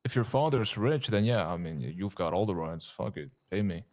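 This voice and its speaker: A man's voice: deep voice, lively intonation, a little fast, a little mellow, a little hiss or hum. A man in a roleplay playing a prison officer, sardonic and joking, swearing freely. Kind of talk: in-character roleplay chat.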